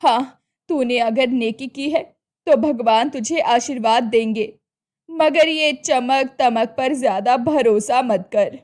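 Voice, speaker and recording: A woman's voice: on the high side, slow, darkish tone. A woman doing a fussing old village mother. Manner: fussing, down-to-earth scolding. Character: older village mother, scolding but caring.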